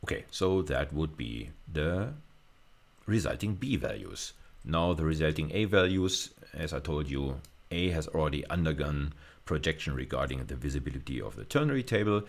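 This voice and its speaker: A man's voice: very deep voice, animated, normal speed, vocal fry. A man giving an informal technical lecture, thinking aloud with some hesitation as he demonstrates step by step. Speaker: university lecturer.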